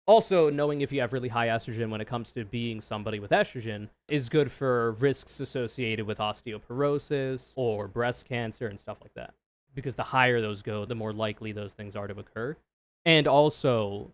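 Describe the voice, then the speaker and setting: A man with a low voice giving casual, opinionated reaction commentary, swearing freely and explaining in loose run-on sentences. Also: fairly quick. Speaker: streamer reacting to a video.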